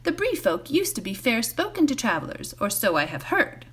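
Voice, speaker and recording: A woman's voice: fairly high pitch, huge pitch swings, normal speed, a bit bright, slightly rough, heavily acted. A woman reading a storybook, voicing a courteous but guarded young male hobbit on a journey. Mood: firm, affronted. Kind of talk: storybook character voice in a read-aloud.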